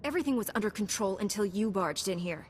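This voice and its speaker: Casting: actress as confident game heroine knight, composed and dry, mid-range, faint noise in a large hall. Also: female voice, mid-range voice, fast, natural voice. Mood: resolute. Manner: calm, dry, assured. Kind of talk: video game voice acting.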